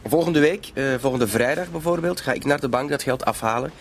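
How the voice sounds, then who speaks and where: Man acting a smooth male blackmailer, gravelly creak, coolly polite, insinuating, radio play, faint background noise. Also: mid-range voice, brisk, natural voice. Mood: cool, threatening.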